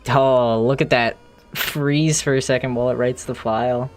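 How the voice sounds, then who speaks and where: A streamer thinking aloud in a halting, casual way while doing live coding commentary, with an unclear, mid-range voice. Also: mid-range voice, fairly even intonation. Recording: music playing behind the voice. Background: music.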